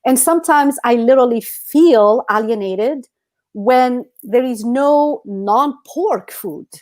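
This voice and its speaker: A woman's voice: on the high side, slow pace, darkish tone. A woman co-hosting a podcast, telling personal stories in a casual, emphatic, conversational way full of "you know". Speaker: podcast co-host.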